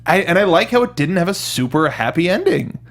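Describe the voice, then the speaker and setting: A man's voice: high-pitched, big pitch swings, fairly quick, a bit bright. A man co-hosting a review show in casual conversation, informal and opinionated. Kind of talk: casual chat reviewing video game films.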